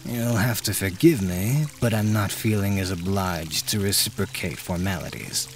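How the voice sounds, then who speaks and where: A woman voicing a gruff, irritable male fantasy sorcerer in an audiobook, curt and grumbling, over background music. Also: extremely low pitch, moderate pace, slight background noise, pitched below the performer's own voice. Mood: irritated, curt. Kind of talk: audiobook character voice.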